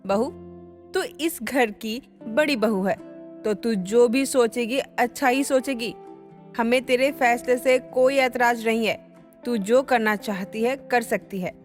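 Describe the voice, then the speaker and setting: A woman playing a trusting old mother-in-law, gentle and approving, in a dramatised family story. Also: a little high, measured pace, soft background music, close to an everyday voice. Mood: fond, trusting.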